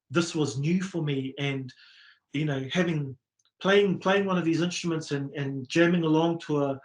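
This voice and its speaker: A man's voice: fairly high pitch, reverberant. A middle-aged man with a New Zealand accent, telling his story earnestly in a casual interview, with many fillers and restarts. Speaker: musician guest.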